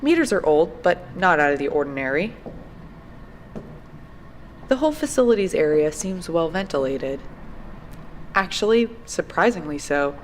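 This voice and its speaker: An actress playing a diligent, polite young researcher, speaking matter-of-factly into a field recorder in an audio drama. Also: female voice, on the low side, sing-song, normal speed, pauses often. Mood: businesslike. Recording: noisy handheld field tape with clicks.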